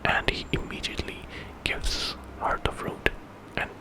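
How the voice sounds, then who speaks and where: A man telling a bedtime story in ASMR style, in a breathy whisper and an informal, conversational way. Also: unhurried. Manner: informal retelling with quoted dialogue.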